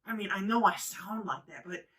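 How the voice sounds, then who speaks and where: A woman's voice: medium pitch, moderate pace, fairly bright tone. A woman from the Midwest, chatting casually and rambling a bit as she mixes cocktails on her own show. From Midwest of the United States. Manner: chatty and rambling, with frequent fillers and asides. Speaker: home cocktail show host. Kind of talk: casual how-to chat while mixing drinks. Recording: voice a bit distant from the mic.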